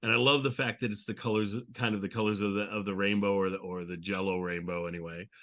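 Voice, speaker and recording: Male host, lowish pitch, bright sharp tone, enthusiastic, rambling, casual group chat. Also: fairly even intonation, fast talker. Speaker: show host. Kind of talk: casual group chat about old radio.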